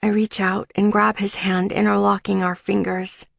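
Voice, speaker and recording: A woman reading an audiobook as dramatic first-person narration, in a measured, emotive way. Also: medium pitch, little pitch movement, creaky, no echo, clean studio sound.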